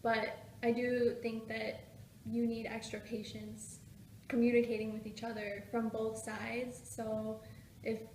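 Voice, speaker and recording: A woman's voice: a little high, monotone, a little slow, darkish tone, a little hiss or hum. A woman in her twenties, a graduate student, answering an interview question in a matter-of-fact way. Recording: echoey room.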